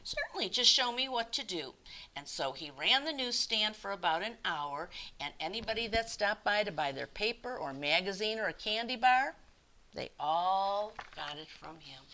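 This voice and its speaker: Bright, expressive woman storyteller. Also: mid-range voice. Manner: animated, deliberate emphasis. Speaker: children's storyteller. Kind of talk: expressive picture-book read-aloud.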